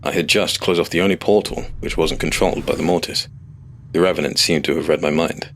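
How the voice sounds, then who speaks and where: A man's voice: a bass voice, steady tone, fairly quick, a bit bright, a little hiss or hum. A man reading a scripted first-person fantasy story as its narrator.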